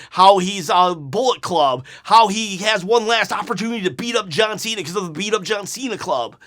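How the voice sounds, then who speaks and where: A man hosting a wrestling channel, giving an unedited review right after a show, excitable and rambling, his voice going hoarse. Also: high-pitched. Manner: excitable, rambling, repeats himself.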